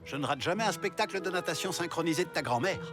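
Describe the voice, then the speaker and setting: A man playing an earnest, nervous older gentleman, polite and eager, in a French cartoon dub. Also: a little high, animated, quick pace, close to an everyday voice. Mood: cheerful, eager. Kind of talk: French animated series dubbing.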